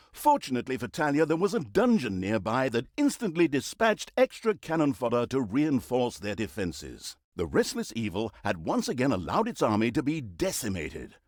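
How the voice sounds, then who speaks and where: An actor playing a theatrical, mock-pompous narrator of villainous deeds in a comic video game, with a sardonic, mocking manner. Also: male voice, mid-range voice, dry recording, studio quality.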